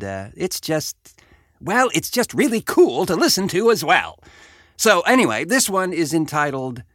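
Male host, very lively intonation, dry close sound, theatrical wry chat. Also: mid-range voice, no echo. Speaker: podcast host. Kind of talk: casual chat and show introduction.

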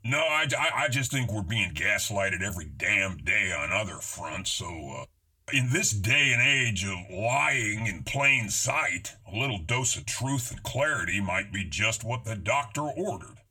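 An American man banters as a podcast co-host, sardonic and folksy. His voice is bright and forceful with a husky rasp, and it moves around a lot in pitch. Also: expressive, a little slow. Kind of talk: casual banter.

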